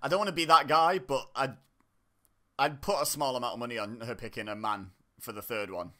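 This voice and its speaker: A man's voice: mid-range voice, fairly quick, sharp tone. A man from the UK chatting and joking as he reacts to a TV show, laughing often and cutting himself off mid-sentence. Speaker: online reaction host. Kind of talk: casual reaction commentary.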